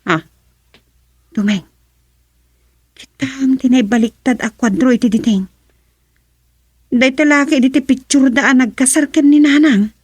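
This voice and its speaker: A woman's voice: sits high, measured pace, pauses often, a little mellow, slightly rough, dry recording. An actress playing a concerned young woman in an Ilocano family radio drama, earnest and questioning, with an Ilocano accent. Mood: worried.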